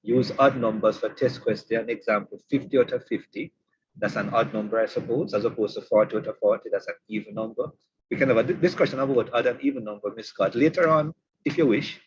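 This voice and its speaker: A man's voice: mid-range voice, brisk, muffled recording, slight background noise. A man hosting an online session for teachers. He is chatty and teasing, and he repeats his points. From Jamaica. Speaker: webinar host. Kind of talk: online professional development session.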